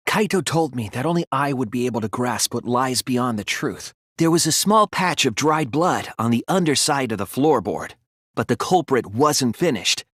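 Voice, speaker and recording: A man voicing an earnest, analytical teenage boy detective in a video game, reasoning deliberately through scripted dialogue. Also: on the high side, a bit bright, no room sound, studio quality.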